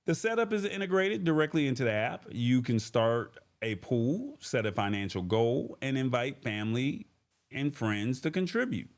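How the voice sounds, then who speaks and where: A man's voice: mid-range voice, expressive, normal speed. A man hosting a tech livestream, talking informally and folksily to his viewers in a casual, chatty way. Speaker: host of a tech livestream show. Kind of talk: casual livestream chat, reading comments and news.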